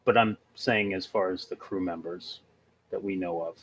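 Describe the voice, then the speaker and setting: A man playing a tabletop role-playing game, talking casually and haltingly as he works things out. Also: low-pitched, fairly even intonation, a bit bright, slight background noise. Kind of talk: casual in-character role-play chat.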